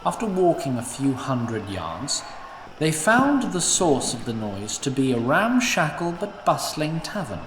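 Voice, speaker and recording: A man narrating a fantasy audio drama in a scripted, expressive storytelling manner. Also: a little high, a little slow, noisy background. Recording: roomy, slightly distant mic.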